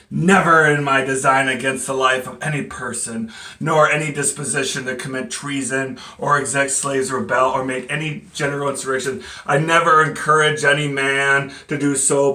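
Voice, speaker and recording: Stage monologue: male actor as condemned abolitionist captain, defiant and devout, tense bright voice, deliberate slow emphatic delivery. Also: medium pitch. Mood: firm, solemn. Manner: halting, emphatic oratory with stumbles. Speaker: poet and playwright performing a monologue. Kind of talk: dramatic courtroom speech, theatrical monologue. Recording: echoey room, distant microphone.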